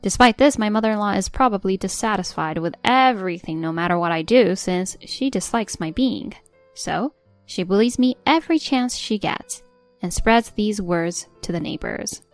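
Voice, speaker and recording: A woman narrating a scripted first-person story in an even, measured reading. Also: mid-range voice.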